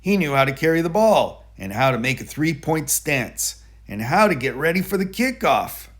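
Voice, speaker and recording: A man narrating a children's picture book aloud in a deliberate, explanatory manner. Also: fairly high pitch, sing-song, a bit bright. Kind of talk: children's storybook read-aloud.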